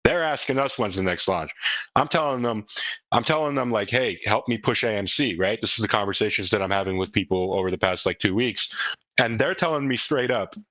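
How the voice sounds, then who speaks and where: Low-voiced man talking earnestly, close and dry. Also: brisk, a bit bright, no echo, poor audio. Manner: earnest, emphatic, repeats himself. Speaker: crypto project leader hosting a community call. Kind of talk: casual unscripted group audio talk.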